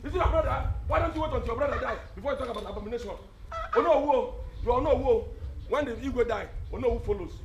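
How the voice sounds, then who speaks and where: A male actor playing a callous, scheming village elder with a Nigerian accent, scolding and repeating himself in loud, theatrical film style. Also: very high-pitched, fast talker, vocal fry, background noise. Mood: menacing, boastful. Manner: blustering, repetitive, scolding.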